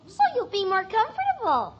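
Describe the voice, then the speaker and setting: An eager young girl in 1950s radio comedy, with a very high, lively voice. She is sugary and solicitous, on a muffled old broadcast. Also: moderate pace, full character voice. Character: eager, overly sweet young daughter in family sitcom. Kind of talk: 1950s radio sitcom acting. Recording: dull old radio, audience behind.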